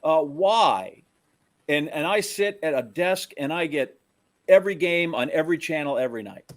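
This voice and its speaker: A man's voice: fairly high pitch, animated, moderate pace, no room sound. A middle-aged American man hosting casual sports talk, anecdotal and opinionated in his questions. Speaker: sports talk host, interviewer.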